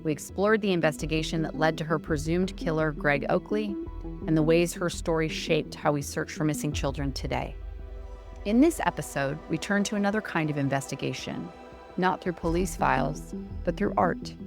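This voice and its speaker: Low, creaky female narrator. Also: moderate pace. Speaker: podcast host, narrator. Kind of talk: scripted documentary narration. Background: music.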